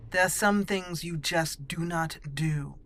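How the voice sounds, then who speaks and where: A woman's voice: fairly low pitch, slow, a bit bright, a little hiss or hum. A woman voicing a stern, skeptical elf mage while roleplaying a video game, speaking in a firm, plain way.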